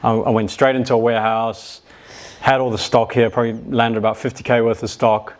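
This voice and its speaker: A man's voice: mid-range voice, little pitch movement, a little fast. A young man from New Zealand, a guest talking casually about his business, laid-back and full of "like" and "yeah". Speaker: guest, e-commerce business owner. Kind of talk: casual interview chat.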